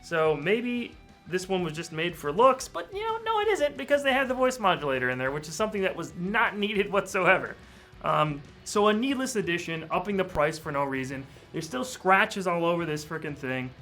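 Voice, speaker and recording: A slightly high-voiced man chats through a casual hands-on review. He is candid and a little grumbly about what bothers him. Faint music plays behind him. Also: animated, moderate pace, sharp tone. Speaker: toy reviewer. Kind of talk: casual unboxing and review talk.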